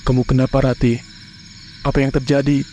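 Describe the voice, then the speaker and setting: A worried young husband in a horror cartoon, steady and reassuring, his voice dark and flat, as a man voices him. Faint crickets chirp in the night behind him. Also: medium pitch, a little fast, a little hiss or hum, close to an everyday voice.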